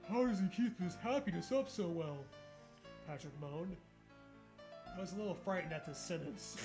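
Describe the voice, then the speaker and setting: A man's voice: high-pitched, normal speed, darkish tone, faint music behind. A man co-hosting a comic read-aloud of fan fiction, breaking into sarcastic, sweary casual banter. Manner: sarcastic, profane riffing.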